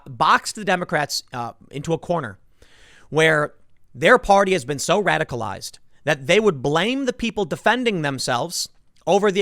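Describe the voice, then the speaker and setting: A man's voice: fairly high pitch, a bit bright, no room sound, clean studio sound. A man giving impassioned solo political commentary as a host, emphatic and indignant, repeating phrases for stress.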